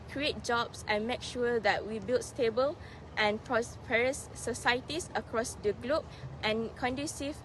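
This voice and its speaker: Young woman presenting over loud street noise. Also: a little high. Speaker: student presenter. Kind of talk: scripted documentary narration.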